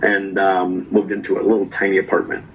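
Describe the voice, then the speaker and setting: A man from Norway, telling his story as a guest in a relaxed, casual chat and tagging his lines with "right?". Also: low voice, fairly quick, dull audio, a little hiss or hum. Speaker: guest vlogger.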